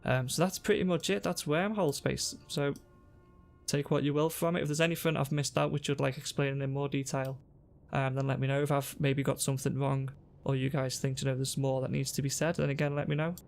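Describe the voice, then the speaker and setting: A young man with a sore throat and a congested voice, calmly explaining a game to viewers on a headset mic. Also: medium pitch, fairly quick, creaky. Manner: relaxed, explanatory, talks to viewers. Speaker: gaming youtuber. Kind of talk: casual gameplay tutorial. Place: home room at a desk.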